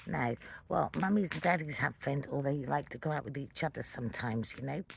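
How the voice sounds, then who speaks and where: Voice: mid-range voice, fairly quick, creaky, slight background noise. A middle-aged person telling a casual, meandering personal story in a playful way, as a late-night fireside ramble. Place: outdoors at night beside a fire.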